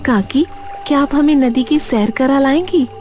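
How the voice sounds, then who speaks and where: A man's voice: very high-pitched, moderate pace, a little mellow, soft background music, voice pushed up. A man voicing a cheerful, curious young village woman in love in an audio horror story. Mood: excited.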